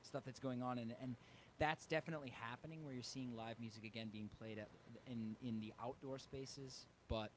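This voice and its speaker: Man, touring musician, casual interview talk, medium pitch, slight background noise. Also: steady tone, fairly quick, hardly any pauses. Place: live online interview.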